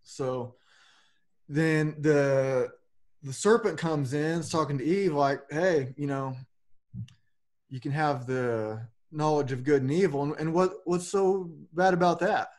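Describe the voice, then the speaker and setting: Slow-talking man in his forties. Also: fairly high pitch, darkish tone. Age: 40s. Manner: loose and rambling, with casual swearing. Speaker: podcast host. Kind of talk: casual philosophical conversation. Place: home room with a plain backdrop. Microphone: webcam on a video call.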